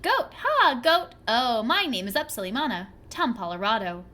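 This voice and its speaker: A woman voicing an eager little village boy who calls out in an excited, sing-song way. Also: a little high, normal speed.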